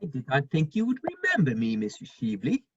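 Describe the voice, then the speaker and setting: A woman's voice: sits low, huge pitch swings, fairly quick, husky, exaggerated voice. A woman playing an idle, flippant dandy lord in a period stage comedy, dry in manner, on a slightly muffled microphone. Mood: flippant, teasing.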